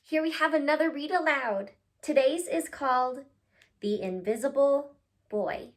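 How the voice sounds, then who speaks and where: A woman reading a picture book aloud to children, expressive and cutesy, talking directly to her young listeners. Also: sits high, unhurried. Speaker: read-aloud host, storyteller for children.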